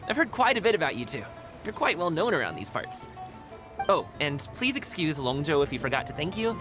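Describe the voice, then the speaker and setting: A friendly young guard in a video game, his voice sitting high and swinging up and down with cheer. He laughs easily and talks in an easygoing way, over clear game music. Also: sing-song, a little fast. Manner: warm, easygoing, laughs often.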